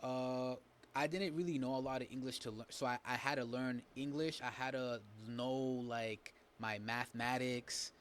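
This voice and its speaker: Rambling young man, halting speech. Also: medium pitch, steady tone, fairly bright tone, a little hiss or hum. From New Bedford, Massachusetts. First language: Spanish. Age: young adult. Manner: rambling, halting, many fillers and restarts. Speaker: guest. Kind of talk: casual podcast chat.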